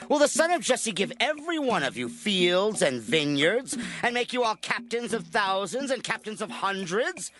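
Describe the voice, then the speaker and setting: High, forceful, wrathful king. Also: sing-song, moderate pace, hardly any pauses, soft background music. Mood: bitter, accusing. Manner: declamatory, theatrical, archaic speech. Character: wrathful, paranoid king accusing his servants of treason. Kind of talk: dramatized scripture acting.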